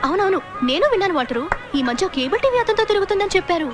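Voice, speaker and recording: A woman's voice: very high-pitched, lively intonation. An actress playing a feisty young policewoman in a comedy film, wheedling and dramatic as she talks. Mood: conspiratorial, flattering. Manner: dramatic, cajoling, quick to plead. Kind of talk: Telugu film comedy acting. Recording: music behind the dialogue.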